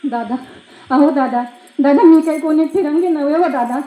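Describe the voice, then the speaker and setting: A woman's voice: sits high, normal speed, dark tone, lightly acted. A woman playing an anxious young milkmaid mother in a stage play, emphatic and pleading, with a rural Maharashtrian accent. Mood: desperate pleading. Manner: emphatic, pleading, repeats calls and words. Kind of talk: theatrical one-act play monologue. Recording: echoey room.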